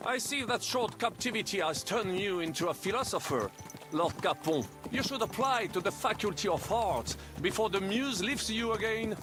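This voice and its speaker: An actor playing a worldly, pragmatic French mercenary in a medieval video game, lecturing in a French accent peppered with French phrases. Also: male voice, high voice, expressive, normal speed. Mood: wry. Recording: loud game music behind.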